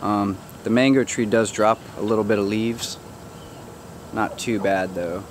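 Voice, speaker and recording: A man casually showing viewers around his backyard garden outdoors, chatty and relaxed, joking with his animals. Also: fairly low pitch, a little slow, a little hiss or hum. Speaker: gardener giving a backyard tour. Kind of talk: casual walk-through narration.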